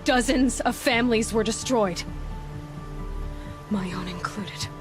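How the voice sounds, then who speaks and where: An actress playing a determined young huntress in a video game, serious and measured, stern yet compassionate. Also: female voice, fairly high pitch, over music. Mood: solemn resolve. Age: young adult. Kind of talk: dramatic video game acting.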